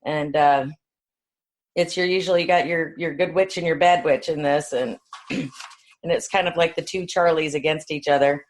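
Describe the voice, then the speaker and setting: A woman's voice: low voice, steady tone, moderate pace. A woman co-hosting a podcast, talking about films in an informal, folksy, conversational way. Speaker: podcast co-host. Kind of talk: casual film discussion.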